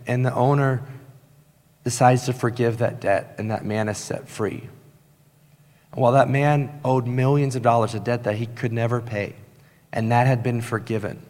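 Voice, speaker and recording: A man preaching an earnest sermon with a raspy voice, slowing down to stress key lines. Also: mid-range voice. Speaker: pastor. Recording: long hall reverb.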